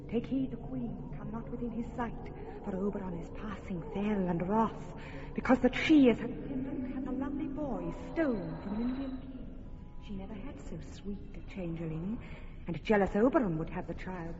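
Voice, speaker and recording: A woman's voice: mid-range voice, close to an everyday voice. A woman voicing a verse-speaking fairy sprite, echoey. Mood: warning. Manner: storytelling, measured verse. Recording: echoey dull radio recording with hall reverb and clear noise.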